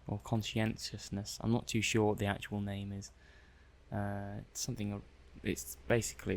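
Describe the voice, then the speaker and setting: A man playing a hesitant, curious robot technician in an ASMR roleplay, halting and musing aloud. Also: on the low side, creaky, a little hiss or hum. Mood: intrigued, thoughtful. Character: hesitant, curious male robot technician running software tests. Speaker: audio roleplay performer. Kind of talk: soft-paced ASMR roleplay monologue.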